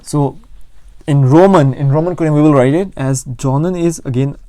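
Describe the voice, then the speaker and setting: A man's voice: on the high side, a little fast, mellow, dry recording. A man with a Pakistani accent teaching an informal language lesson, explaining and repeating phrases for learners. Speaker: language teacher and channel host.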